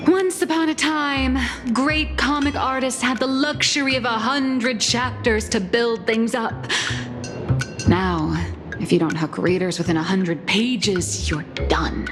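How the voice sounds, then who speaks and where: A woman playing an earnest, wry comic artist in an anime-style video game, explaining things in a measured, slightly theatrical way. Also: a little high, lightly acted. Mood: wry, musing. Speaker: comic artist presenting her work. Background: music.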